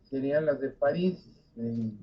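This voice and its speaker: An older man giving a university lecture, halting with frequent fillers and restarts. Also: medium pitch. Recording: dull lo-fi recording.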